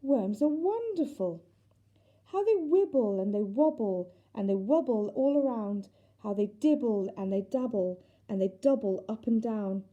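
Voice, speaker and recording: A woman's voice: a little high, animated, a little slow, dark tone, muffled recording. A woman voicing a whimsical, enthusiastic grown woman in a children's storybook, with an exclamatory, sing-song manner. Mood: wonder, delight. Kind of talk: children's storybook character reading.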